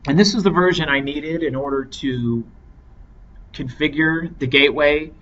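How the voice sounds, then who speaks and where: A man giving a measured, step-by-step software tutorial as an instructor. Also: fairly high pitch. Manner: measured, explanatory step-by-step delivery. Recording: dull lo-fi microphone with clear noise.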